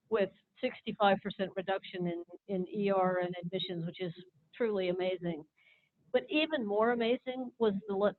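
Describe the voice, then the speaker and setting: A person at a conference opening the questions with a spoken comment and question for the speaker. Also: mid-range voice. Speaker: audience questioner or moderator. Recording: muffled lo-fi recording.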